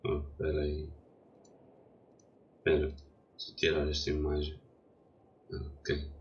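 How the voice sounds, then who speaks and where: A man's voice: very deep voice, completely flat delivery, takes it slowly, highs cut off. A young man, stuffy from a cold, chatting hesitantly while setting up a gaming livestream. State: congested from a cold. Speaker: streamer. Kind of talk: casual gaming livestream chat.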